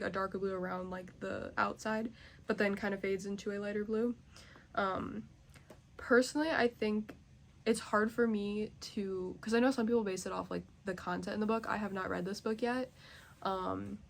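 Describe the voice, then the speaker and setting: A woman's voice: mid-range voice, gravelly. A young woman chatting casually as a book vlogger, nasally congested and rambling with many fillers. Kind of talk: casual chat.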